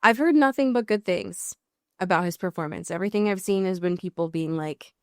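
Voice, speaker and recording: Lower-voiced American woman podcaster, emphatic and rambling. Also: brisk, darkish tone, no echo, studio quality. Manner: emphatic, sweary, rambling with self-corrections. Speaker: podcast co-host. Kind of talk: casual TV and film recap chat.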